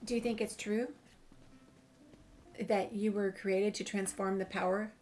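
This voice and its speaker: A woman's voice: medium pitch, little pitch movement, a little slow, darkish tone. A woman playing through a video game, casually reading dialogue aloud and reacting. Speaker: gamer playing a walkthrough. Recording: noisy, ambient background sound.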